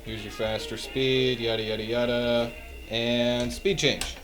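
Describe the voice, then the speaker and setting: A man's voice: medium pitch, level tone, a little slow, over music. A man giving a casual, unscripted hands-on demonstration of old equipment in a wry, self-deprecating, offhand way. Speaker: host demonstrating vintage audio equipment.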